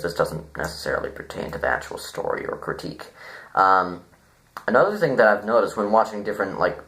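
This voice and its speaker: A man giving a casual, unscripted review, halting and rambling as he thinks aloud. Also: on the low side, fairly bright tone, poor audio. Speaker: online story reviewer.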